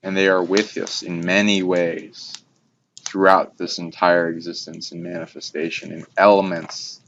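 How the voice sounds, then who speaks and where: A man's voice: sits low, little pitch movement, a little slow, vocal fry, a bit bright. A man talking casually on spiritual matters in long, rambling, run-on sentences. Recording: dull lo-fi recording with background noise.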